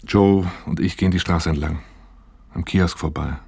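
A man's voice: sits low, steady tone, normal speed, vocal fry, a little mellow, slightly rough, no room sound, clean studio sound, exaggerated voice. An actor playing a troubled, dutiful working man in a radio play, recounting his life to his therapist in a matter-of-fact, reflective way. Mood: wry, resigned. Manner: matter-of-fact, reflective, acting out remembered dialogue. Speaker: protagonist and first-person narrator of a radio play. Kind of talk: 1980s German radio play acting, confessional monologue.

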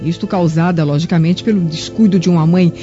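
A woman acting a sly, spiteful Roman lady in a radio drama. Her mellow voice is laced with sarcasm and false sweetness, on a muffled recording with light music. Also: fairly low pitch, fairly even intonation, fairly quick, lightly acted. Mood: spiteful, insinuating. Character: venomous, insinuating Roman noblewoman, sly and haughty.

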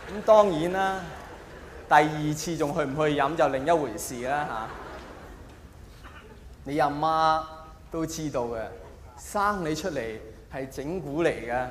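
A man's voice: a little high, unhurried, pauses often. Male comedian, Hong Kong Cantonese accent, colloquial monologue, rhetorical questions, roomy hall echo, faint background noise. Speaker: stand-up comedian.

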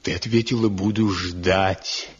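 A man's voice: low voice, fairly even intonation, measured pace, a little hiss or hum. A man acting a tormented, jealous village craftsman in a radio drama, speaking in an emotional, lyrical and accusing manner. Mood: bitter, accusing. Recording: muffled 1970 radio drama recording, music behind.

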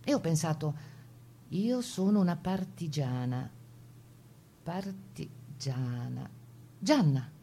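A woman's voice: deep voice, lively intonation, slow pace, long pauses, mellow, slight background noise, full character voice. A woman playing a plucky Italian Resistance partisan, telling her wartime memories in the first person as a dramatized radio testimony. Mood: resolute. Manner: composed first-person storytelling.

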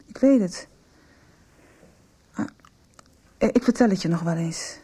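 An actress playing a gentle, forgiving injured girl who speaks hesitantly, in a 1990s radio soap. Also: fairly low pitch, unhurried, husky. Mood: shy, tender. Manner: hesitant, caring.

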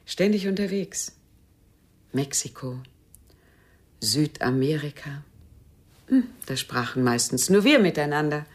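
A husky, low female voice plays a wistful widow in a radio drama. Her delivery is courteous and hesitant, with lively swings of pitch. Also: a little slow, pauses often, exaggerated voice. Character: polite, wistful widowed former teacher, a neighbour. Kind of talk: German radio play acting.

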